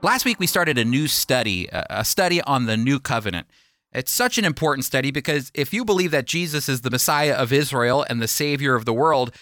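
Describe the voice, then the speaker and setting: A man's voice: medium pitch, sharp tone, no echo, clean studio sound. An American man hosting a radio Bible teaching program, speaking in an earnest, emphatic, conversational way.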